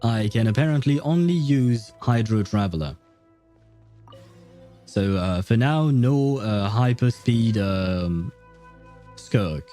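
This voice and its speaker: A man's voice: fairly low pitch, expressive, slow, long pauses, dark tone, soft background music. A man chatting casually and a bit hesitantly with viewers as he hosts a gaming livestream, often rambling. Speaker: livestream host. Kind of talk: casual chat while streaming a game.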